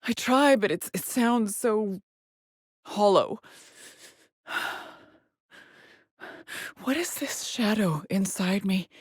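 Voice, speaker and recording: A woman playing an anguished, trapped astronaut in a dramatic video game, with a husky, breathy voice and a halting, haunted delivery. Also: medium pitch, no room sound, studio quality. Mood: troubled, confessional.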